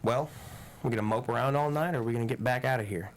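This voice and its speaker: A man's voice: mid-range voice, fast talker, natural voice. A man playing a hurt, wry young man on the run, understated and conversational, in a screenplay table read.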